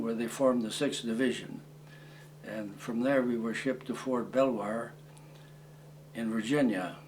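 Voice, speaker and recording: A man in his eighties, originally from Croatia, recalling his past in an oral history interview, speaking haltingly with many fillers and restarts. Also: mid-range voice, a little hiss or hum, rough recording. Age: 80s. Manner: plain, matter-of-fact storytelling. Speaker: war veteran interviewee.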